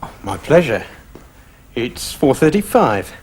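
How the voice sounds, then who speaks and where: An actor playing a polite, deferential police constable who speaks in a formal, courteous way, in a British TV sitcom. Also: male voice, medium pitch, sing-song, slow, a little mellow, husky, exaggerated voice. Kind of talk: 1980s British sitcom acting.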